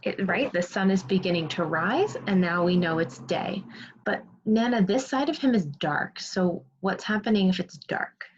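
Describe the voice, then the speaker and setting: A woman's voice: medium pitch, expressive, normal speed, a little hiss or hum. A woman teacher reading aloud and explaining to young children, asking questions and praising pupils as she goes. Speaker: second grade teacher. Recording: dull lo-fi sound.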